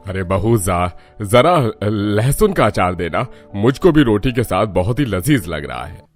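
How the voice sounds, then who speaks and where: A man's voice: lowish voice, sing-song, unhurried. Man acting a demanding, food-loving household man. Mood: relishing. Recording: music bed under the voice.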